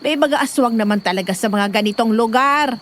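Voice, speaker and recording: A woman's voice: on the high side, brisk, a bit bright, slight background noise, close to an everyday voice. A woman playing a protective, fussy middle-aged nanny in an audio drama, nagging and exclaiming in a Filipino accent. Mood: chatty.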